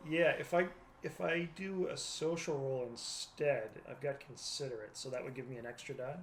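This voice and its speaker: A man's voice: medium pitch, normal speed. A man playing a tabletop game and chatting casually with the group. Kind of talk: casual chat. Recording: faint room noise.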